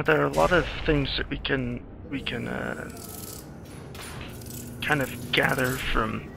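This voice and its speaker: Very gravelly man giving halting game commentary. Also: medium pitch, noisy background. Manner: hesitant, halting, thinking aloud. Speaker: screenwriter doing game commentary. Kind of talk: improvised playthrough commentary. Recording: game music behind the voice. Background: video game music.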